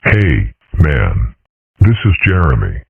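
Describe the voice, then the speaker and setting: A man voicing a dim, laid-back schoolboy bully in a cartoon comic dub, slangy and husky. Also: extremely low pitch, very animated, slow, no echo, exaggerated voice. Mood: dim, friendly. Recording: muffled band-limited audio.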